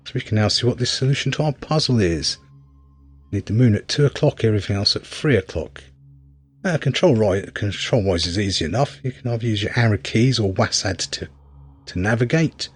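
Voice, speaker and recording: A man's voice: fairly low pitch, expressive, brisk, slightly rough. A man giving casual gameplay commentary as a reviewer, often hesitant and thinking aloud. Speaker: video game reviewer.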